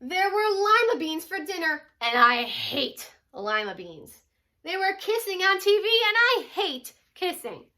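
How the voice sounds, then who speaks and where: A woman with a high, bright voice reads a storybook to children in the manner of a teacher. Her delivery swings widely in pitch, leaning dramatically on key words. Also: sing-song, unhurried. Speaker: teacher reading aloud to children. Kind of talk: expressive children's picture-book read-aloud.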